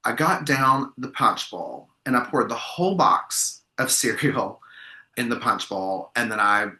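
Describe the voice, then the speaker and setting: A man's voice: mid-range voice, lively intonation, a little slow, pushed and bright, reverberant, low-quality recording. A man hosting a podcast, chatting enthusiastically and rambling with restarts as he interviews a guest. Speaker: podcast host. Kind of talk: casual interview chat.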